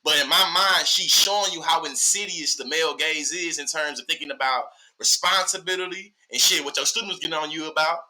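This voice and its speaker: Man, early thirties, Texas Southern accent, very sharp forceful tone, high pitch, slangy conversational delivery, rhetorical questions. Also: fairly quick, few pauses, rough recording. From Texas, USA. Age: early 30s. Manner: animated, rhetorical, slangy, often checks listener follows. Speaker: podcast guest, social media educator. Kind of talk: casual, opinionated conversation.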